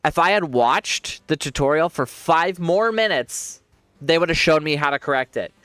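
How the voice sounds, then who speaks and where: A man's voice: fairly high pitch, lively intonation, moderate pace, sharp tone, smooth, dry recording. A man with a congested voice, giving rambling casual commentary while he plays a game and laughs often. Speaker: streamer.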